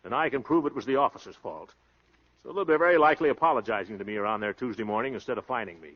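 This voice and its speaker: A man's voice: mid-range voice, rapid-fire, natural voice. An American man playing a cocky, glib, slangy small-time blowhard of a husband in a 1930s radio comedy. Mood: breezy reassurance. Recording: muffled 1930s radio broadcast recording.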